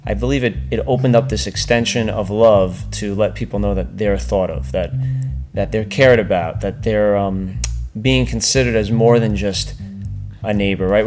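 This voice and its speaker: A man with a low voice talks earnestly about faith and neighborliness, encouraging his listeners. His sentences often restart as he works out his thoughts. Guitar music plays clearly underneath him. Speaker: podcast co-host. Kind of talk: faith-based casual talk.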